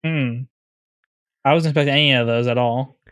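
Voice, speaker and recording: Clear-voiced man explaining casually, haltingly. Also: medium pitch, fairly even intonation, fairly bright tone, no room sound. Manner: halting, repetitive, peppered with "you know". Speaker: podcast co-host.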